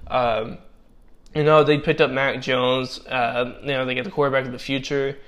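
A man's voice: mid-range voice, level tone, moderate pace, fairly bright tone. A young man giving casual spoken football draft commentary, with many fillers and restarts and a voice that is giving out. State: losing his voice. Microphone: personal microphone.

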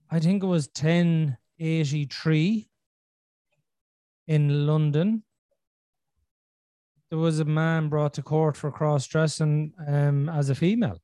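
A man, from Ireland, hosting a podcast and talking in a casual, earnest way, thinking out loud as he goes. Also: fairly high pitch, fairly even intonation, slow, long pauses, no room sound. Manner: earnest, thinking aloud with restarts and "you know". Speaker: podcast host. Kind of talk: casual conversational podcast chat.

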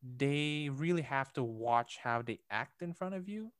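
A man's voice: mid-range voice, expressive, measured pace, clean tone, dry recording, clean studio sound. A young man from Indonesia chatting casually, thinking aloud with many fillers, restarts and light laughs. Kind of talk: casual conversation.

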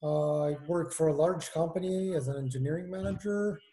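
Hesitant Israeli-born man, slightly high voice. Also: brisk, warm tone. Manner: hesitant, many fillers and restarts. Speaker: guest, engineering manager. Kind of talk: casual video-call interview. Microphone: video call.

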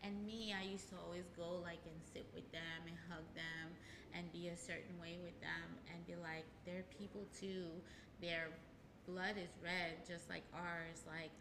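Woman, from haiti, earnest, speaks low and even, noisy recording. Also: unhurried. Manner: earnest, spiritual, laughs easily. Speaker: hypnotherapist guest. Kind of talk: casual radio talk. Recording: background noise.

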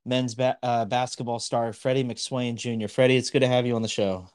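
A man's voice: medium pitch, flat delivery, brisk, darkish tone, clear, resonant tone. A young man hosting a sports podcast, asking interview questions in a loose, hesitant way, with "kinda" and "like" throughout. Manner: hesitant, loose phrasing with fillers. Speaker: podcast host.

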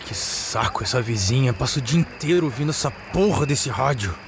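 A man's voice: mid-range voice, lively intonation, brisk, background noise, close to an everyday voice. A man playing a troubled, irritable young man in a Brazilian-accented audio drama, speaking in an agitated, stammering way. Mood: irritated.

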